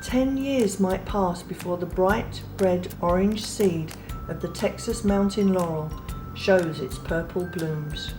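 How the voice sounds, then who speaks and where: A woman reading a children's picture book aloud in a gentle, unhurried way, pausing softly between phrases. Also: on the low side, rough recording. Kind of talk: read-aloud storybook narration. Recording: read over clear background music.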